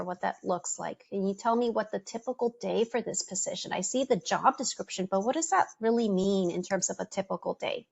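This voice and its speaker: A woman whose first language is Spanish presents a career webinar over a video call. She speaks in a quick, conversational coaching tone with frequent ums. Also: mid-range voice, vocal fry, dull audio.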